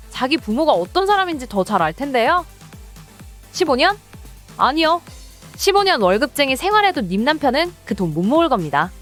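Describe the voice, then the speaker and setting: A woman's voice: a little high, fairly bright tone. A woman giving a pointed, rhetorical reading of written comments. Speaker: reader of listener comments. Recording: music bed under the voice.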